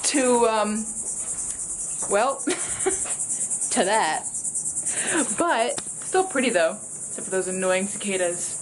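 A woman's voice: mid-range voice, lively intonation, slow pace, long pauses, poor audio. Teen girl, casual vlog, upbeat and giggly, camera held at arm's length, music under. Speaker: vlogger. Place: resort hotel room and grounds.

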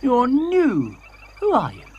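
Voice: high voice, huge pitch swings, darkish tone, husky, highs cut off, exaggerated voice. A storyteller voicing an eager, bossy young male dinosaur in a children's story. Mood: indignant. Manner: eager, bossy, quick to panic.

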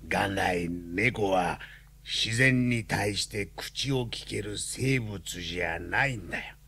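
A man playing a grave, philosophical lion in a radio drama, speaking solemnly and deliberately. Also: on the low side, gravelly, bright, forceful tone, husky, full character voice. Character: grave, philosophical male lion, weary revolutionary comrade.

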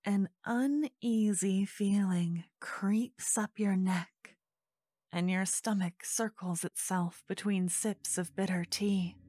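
A woman narrating a scripted second-person fantasy story in ASMR style, hushed, measured and suspenseful. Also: medium pitch, fairly even intonation.

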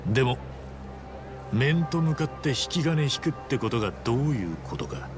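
Animated, rough-talking easygoing tough guy played by a man. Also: medium pitch, moderate pace, music in the background, lightly acted. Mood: calm, defiant. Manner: casual, rough masculine street speech. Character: easygoing but fearless Japanese man, a tough traveller. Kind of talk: Japanese video game cutscene acting.

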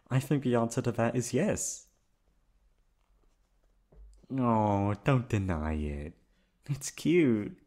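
A man playing an affectionate, teasing young boyfriend in a roleplay audio, coaxing playfully with drawn-out words. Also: mid-range voice, vocal fry, a little mellow, slightly rough, full character voice. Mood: teasing, tender. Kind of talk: roleplay ASMR audio acting.

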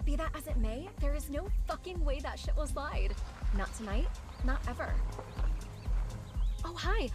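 Game role: assertive female event organiser, high emphatic voice, loud music behind. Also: lively intonation, moderate pace, pauses often. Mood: firm, angry. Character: brisk, assertive party organiser, sweet to her boss. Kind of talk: video game cutscene acting.

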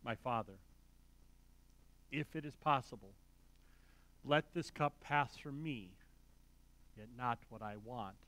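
Grieving spiritual teacher voiced by a man, slow, hushed, grave delivery. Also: medium pitch, no room sound. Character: sorrowful male teacher praying before his arrest.

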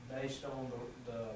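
A man with a Southern American accent presenting a proposal to a town council, halting and full of fillers, recorded from across a small meeting room. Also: medium pitch. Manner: halting, many fillers and restarts. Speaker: city utility official presenting to council. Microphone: far room camera mic. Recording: echoey room from far off, background noise.

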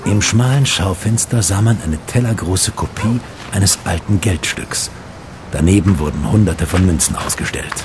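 Deep-voiced male narrator over music. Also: moderate pace. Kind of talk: scripted narration.

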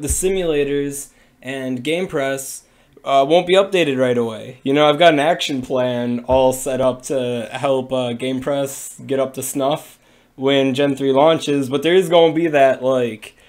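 A young man with a cold-raspy, hoarse voice, talking casually and rambling with many fillers on a livestream from a small room into a webcam. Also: mid-range voice, fairly even intonation, a little slow. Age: young adult. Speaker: streamer. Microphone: webcam at desk.

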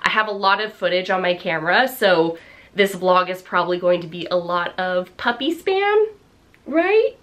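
A woman in her thirties chatting to a desk camera in her home office, her voice bright and forward. She sounds slightly hoarse, and she talks in an upbeat, rambling way with lots of fillers. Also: fairly low pitch, lively intonation, moderate pace. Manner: chatty, upbeat, many fillers and little laughs. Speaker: vlogger. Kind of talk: casual vlog chat. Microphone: camera on the desk facing her.

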